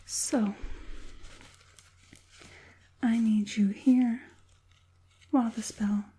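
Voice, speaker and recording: A woman voicing an evil witch puppet master in a whispered ASMR roleplay, coaxing and falsely caring, slow and deliberate in a breathy hush. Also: fairly high pitch, fairly even intonation, full character voice. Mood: calm, controlling. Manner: slow, deliberate, soothingly menacing.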